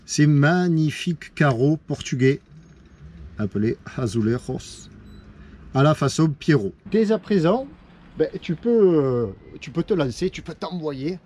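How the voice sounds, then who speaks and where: A man's voice: a little high, lively intonation, measured pace, a little mellow. A man talking casually to camera in a rambling, self-correcting way, showing his watercolour cards from a boat. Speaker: vlogger and watercolour painter. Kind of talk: casual vlog talk to camera.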